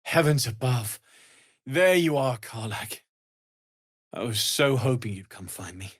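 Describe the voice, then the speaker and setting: A man voicing a kindly, earnest young tiefling blacksmith in a video game, speaking in a gentle, sincere, measured way. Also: medium pitch, expressive, husky. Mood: relieved, glad. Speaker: voice actor. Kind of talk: video game character dialogue.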